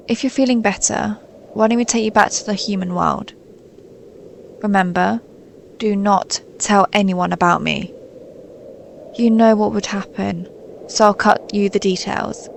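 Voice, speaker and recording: Casting: actress for an ancient snow maiden in audio roleplay; stoic, melancholy, soothing, even intonation, creaky voice. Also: female voice, medium pitch, a little hiss or hum. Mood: calm, gracious. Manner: soothing, formal, measured, solemn. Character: centuries-old female snow maiden, gentle, stoic, melancholy guardian spirit. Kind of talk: scripted audio roleplay, intimate monologue to listener.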